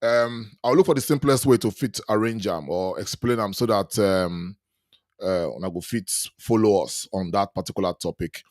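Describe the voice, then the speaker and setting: A man co-hosting a live podcast, explaining a topic in a measured way with frequent fillers. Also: lowish voice, a little mellow, dry recording, clean studio sound. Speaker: podcast co-host. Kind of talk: casual chat, live podcast.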